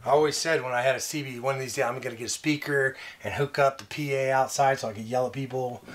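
A man's voice: mid-range voice, steady tone, fast. A middle-aged man chatting casually and jokily while working, with a folksy manner. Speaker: vlog host doing DIY bus repairs. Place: inside a converted school bus and outdoors beside it. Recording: clear background noise, camera audio.

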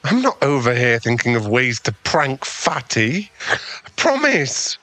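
A man's voice: mid-range voice, very animated, a little slow, bright, forceful tone, no room sound. A man playing an awkward, kind young gym owner with a crush in an ASMR boyfriend roleplay, speaking in an earnest, hesitant, stammering way. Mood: flustered, embarrassed.

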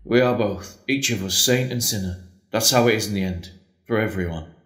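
An actor playing a devout, tender priest in an audio drama, speaking earnestly and solemnly. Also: male voice, lowish voice, normal speed, fairly bright tone, lightly acted. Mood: solemn, firm. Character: John, devout, tender, doubting priest facing the end. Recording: echoey, roomy sound.

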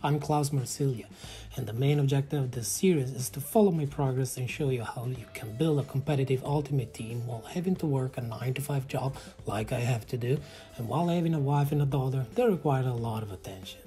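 A man in his thirties with an Italian accent, narrating his own football game footage in a calm, matter-of-fact play-by-play. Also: medium pitch, brisk, soft background music. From Italy. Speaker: gaming channel host. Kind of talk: game commentary voice-over.

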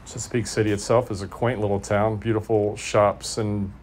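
A man's voice: on the low side, normal speed, a little hiss or hum. Wry, easygoing sailor man. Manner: relaxed, wry and understated. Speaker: sailing vlogger. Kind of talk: travel vlog narration. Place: sailboat deck outdoors.

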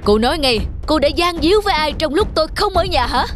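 Woman acting a jealous, hot-tempered young husband, high-pitched. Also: expressive, fast talker. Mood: suspicious, angry. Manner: accusing, emphatic. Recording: music under the voice.

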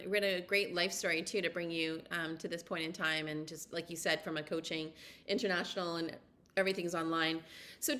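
A woman's voice: fairly low pitch, fairly bright tone. A woman hosting a podcast over a video call, friendly and chatty. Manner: friendly, chatty, laughs easily. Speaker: podcast host. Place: home room.

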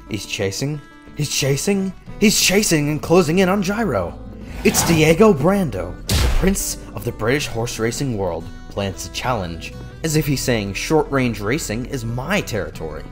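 A man playing an excitable, official-sounding race announcer in a dramatic manga fandub. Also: mid-range voice, normal speed, faint music behind, natural voice. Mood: excited, urgent. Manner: formal explaining that bursts into breathless excitement.

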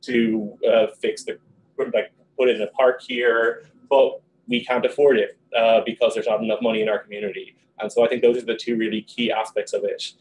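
A young man speaking quickly on a panel, with many ums and likes, in a small meeting room and recorded by a camera on a video call. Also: fairly low pitch, level tone, rough recording. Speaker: panel guest. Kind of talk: panel discussion.

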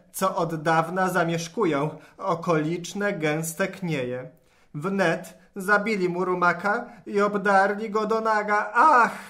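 An actor voicing a clever, bold talking cat with a courtly, smooth-tongued way in a children's rhymed audio tale. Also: mid-range voice, pushed and bright. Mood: dramatic pleading. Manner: smooth-tongued rhyming oration.

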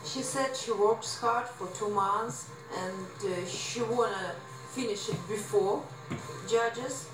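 Casting: female interpreter, halting delivery, distant roomy TV sound, loud music behind. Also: fairly high pitch. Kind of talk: interview translation. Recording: TV audio heard from far off.

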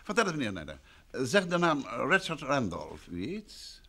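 An actor playing a composed, probing detective husband in an old Dutch radio drama, speaking with polite, measured questions. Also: male voice, medium pitch, huge pitch swings, vocal fry, bright, forceful tone, full character voice. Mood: courteous, probing.

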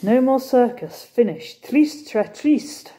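In a storybook reading, a weary middle-aged French cafe owner speaks broken English with a heavy French accent, as a woman puts him on. His voice is lifted higher and pushed louder, mournful and emphatic. It keeps the mellow tone of the reader. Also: expressive, slow. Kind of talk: storybook character voice.

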